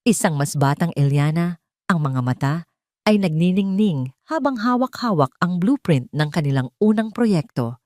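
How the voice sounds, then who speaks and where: Female storyteller for scripted drama narration; lowish register, highly expressive intonation. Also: normal speed, dry recording, clean studio sound.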